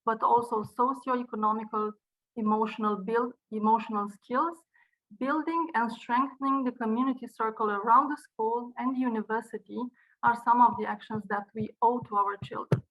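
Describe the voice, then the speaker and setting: Woman, Romanian accent, slightly high pitch; earnest, measured prepared address by video call. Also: steady tone, fairly bright tone, low-quality recording. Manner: earnest, measured delivery with occasional restarts. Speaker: university director giving a speech. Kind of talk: prepared speech.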